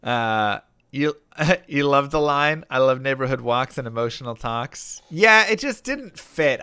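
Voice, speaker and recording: Guy on a live stream reading chat and rambling, bright crisp voice, dry close mic. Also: medium pitch, no echo. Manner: rambling, lots of fillers and restarts, reads chat messages aloud. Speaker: streamer and rapper.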